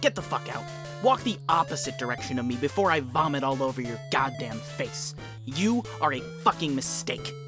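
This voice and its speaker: A woman's voice: very deep voice, very animated, moderate pace, music in the background. A woman voicing an irritable, foul-mouthed young male troll in a comic fan dub, abrasive and exasperated. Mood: furious.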